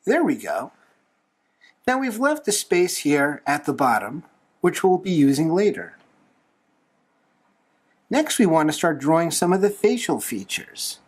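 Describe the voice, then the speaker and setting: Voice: medium pitch, very animated, measured pace, pauses often, husky. A tutorial host talking through a drawing step by step in a playful, encouraging manner. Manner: playful, light humour, encouraging.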